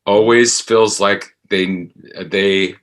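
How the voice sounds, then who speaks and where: A man's voice: lowish voice, steady tone, drawn-out words, fairly bright tone. A man in casual reflective discussion, speaking tentatively and searching for his words. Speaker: actor discussing his podcast drama.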